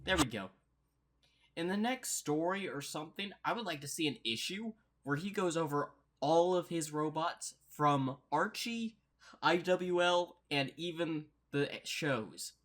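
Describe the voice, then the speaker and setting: A man's voice: fairly high pitch, animated, a little slow, fairly bright tone. A man hosting a reaction video with casual, rambling commentary, easily amused.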